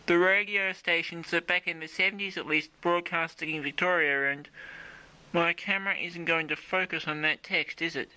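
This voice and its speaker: An Australian man with a fairly high, bright and forceful voice. He talks like a hobbyist host in a casual demonstration, informal and rambling, often thinking aloud. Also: fairly even intonation, moderate pace, clear, resonant tone, rough recording. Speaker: video host demonstrating a vintage radio.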